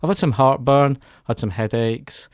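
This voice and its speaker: A man's voice: mid-range voice, normal speed, dry recording, studio quality. A man, telling his personal story as a guest in a radio interview. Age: 40s. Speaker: interview guest giving a testimony. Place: radio studio.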